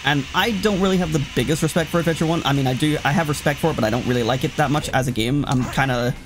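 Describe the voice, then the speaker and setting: A man's voice: fairly high pitch, rapid-fire, poor audio. A man giving casual, sweary let's play commentary, rambling and often cutting himself off as he reacts to the game. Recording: loud game music behind. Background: video game music.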